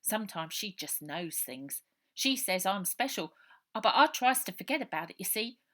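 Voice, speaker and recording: A woman's voice: medium pitch, lively intonation, normal speed, bright, forceful tone. Woman acting a plaintive working-class English orphan boy. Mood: confiding, uneasy. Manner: colloquial, earnest, ungrammatical speech. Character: frightened ten-year-old orphan boy, plaintive, streetwise.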